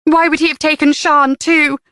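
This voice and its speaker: Frantic tearful mother, voiced by a woman, high and pleading, in a close, dry read. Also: level tone, normal speed, sharp tone, no room sound. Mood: distraught. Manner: trembling, high and strained.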